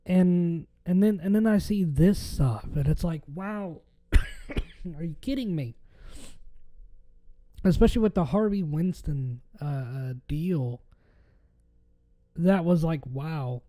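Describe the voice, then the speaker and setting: A man hosting his own podcast, hoarse and a little raspy after being sick, rambling casually with lots of fillers. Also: a little high, slow pace, long pauses, warm tone. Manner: rambling with many fillers and restarts. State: hoarse from a recent illness. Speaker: podcast host. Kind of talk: casual solo podcast talk.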